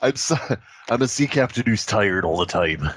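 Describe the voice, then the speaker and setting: A man's voice: on the low side, lively intonation, moderate pace, slight background noise. A man co-hosting a podcast in casual improvised comic banter, silly and riffing. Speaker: podcast co-host.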